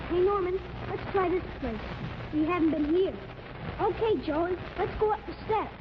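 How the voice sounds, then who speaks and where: Loud noise and muffled 1940s radio sound surround an eager little boy. The voice is extremely high, childlike and earnest. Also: normal speed. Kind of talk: 1940s radio comedy acting. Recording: very noisy, dull old radio broadcast.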